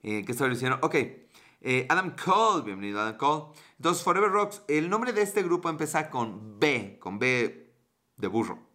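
Mexican male streamer, rambling and crude, bright forward tone. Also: mid-range voice, very animated, normal speed. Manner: joking, crude slang, rambling. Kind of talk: casual livestream chat, reading viewer comments.

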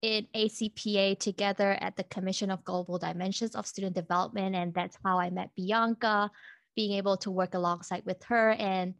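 A woman's voice: medium pitch, steady tone, a little fast, no echo, studio quality. A woman hosting a panel, reflecting on the guests' stories in a thoughtful way. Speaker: host. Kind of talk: panel moderation.

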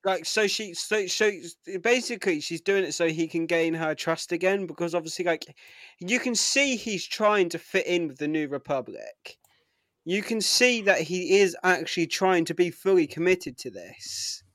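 A man co-hosting a podcast in casual conversation, halting and repeating words as he builds his point. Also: high voice, fairly bright tone, no echo. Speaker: podcast co-host. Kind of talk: casual chat.

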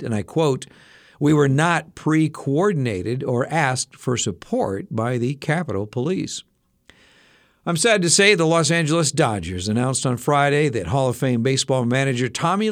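A man hosting a casual daily news briefing, slightly hoarse and adding personal asides to the headlines. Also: mid-range voice, no room sound, studio quality. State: slightly hoarse, losing his voice. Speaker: radio news briefing host.

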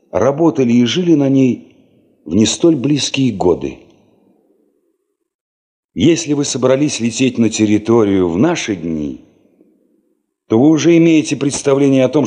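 Male narrator, Soviet radio play. Literary, thoughtful, measured delivery, mid-pitched and mellow. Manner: measured, reflective.

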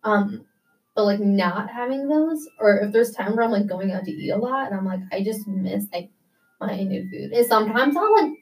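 A young woman from the United States talks in a loose, casual interview way, full of "like" and little restarts. Her pitch sits in an ordinary middle range. She sounds slightly set back from the microphone. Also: animated, moderate pace, darkish tone, recorded from a distance, low-quality recording. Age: young adult. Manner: chatty, many "like" fillers.

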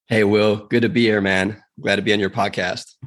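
A man's voice: lowish voice, level tone, moderate pace. A man from South Africa, a podcast guest telling his story in a chatty, earnest way with frequent fillers. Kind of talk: casual storytelling in a podcast interview.

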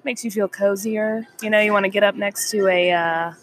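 A woman's voice: mid-range voice, steady tone, moderate pace, a little hiss or hum. Woman podcast co-host, playful banter, recorded outdoors on a patio. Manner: playful, teasing, laughs often. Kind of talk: casual wine-tasting chat.

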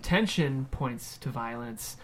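A man reading scripted screenplay narration and stage directions in a measured way. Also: medium pitch, expressive, a bit bright, slight background noise.

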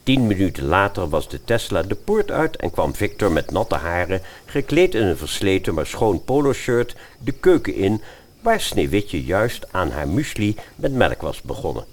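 A man narrating a scripted story in an expressive storytelling style. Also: deep voice, normal speed.

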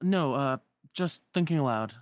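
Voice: mid-range voice, lively intonation, normal speed, no room sound, pitched above the performer's own voice, close to an everyday voice. A performer voicing an earnest, flustered young boy hero who stammers with devotion in a retold game story. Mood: flustered, hesitant.

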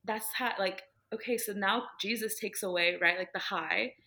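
Young woman guest on a podcast, earnest, says "like" a lot, mid-pitched voice. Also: moderate pace, bright, forceful tone. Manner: earnest, lots of fillers like "like" and "um". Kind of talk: casual faith conversation.

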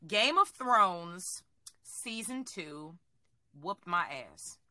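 A woman co-hosting a podcast in casual chat, animated and emphatic, swearing freely. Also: fairly low pitch, extremely slow, no echo. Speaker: podcast co-host.

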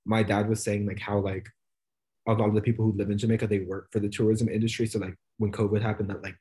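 A young man co-hosting a podcast in a loose, rambling chat, full of fillers and quick laughs. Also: lowish voice, level tone, mellow. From United States. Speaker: podcast co-host. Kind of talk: casual chat.

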